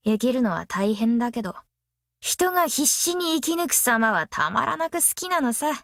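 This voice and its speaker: A woman voicing a brash, easygoing, long-lived female master metalsmith in an anime game, with rough, cocky, tomboyish speech. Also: fairly high pitch, big pitch swings, moderate pace, a bit bright, dry recording, clean studio sound. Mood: musing, self-assured. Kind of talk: anime mobile game character voice lines.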